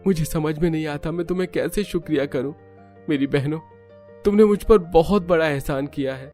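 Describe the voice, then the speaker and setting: Man acting an earnest, higher-pitched devoted brother. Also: a little fast, darkish tone, soft background music, lightly acted. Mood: grateful, humble. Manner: earnest, protective, pleading. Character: devoted, humble, emotional young brother. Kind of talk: Hindi cartoon story acting.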